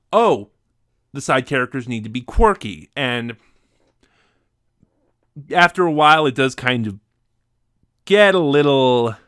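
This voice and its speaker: A man speaks as a reviewer in a casual, conversational style. His delivery is a little halting, as if working out his thoughts aloud, and the sound is dry with little room in it. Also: mid-range voice, a bit bright, no room sound. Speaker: reviewer ranking favorite audio dramas.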